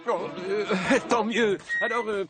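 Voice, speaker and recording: Un acteur qui joue, en français, un vieux chef pompier nostalgique et fanfaron, qui bafouille de façon théâtrale dans un dessin animé. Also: male voice, sits high, fairly even intonation, slow, over loud music. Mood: flustered, evasive. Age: older. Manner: blustering, stammering, theatrical. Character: excitable, nostalgic, blustering old male fire chief. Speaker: fire chief. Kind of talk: animated children's cartoon dub.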